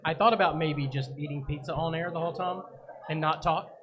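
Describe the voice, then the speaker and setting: A man co-hosting a live soccer show, talking in a relaxed, easygoing way. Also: a little high, fairly quick. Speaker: podcast co-host. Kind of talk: live casual soccer chat. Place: busy brewery. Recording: noisy live bar recording. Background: crowd chatter.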